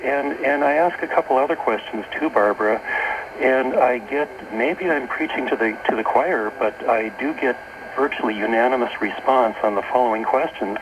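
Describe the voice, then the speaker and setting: An American man speaking as a radio interview guest, explaining haltingly and rephrasing as he goes, on a noisy shortwave tape. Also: medium pitch, highs cut off. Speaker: talk show guest, author and workshop leader. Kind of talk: unscripted radio interview talk.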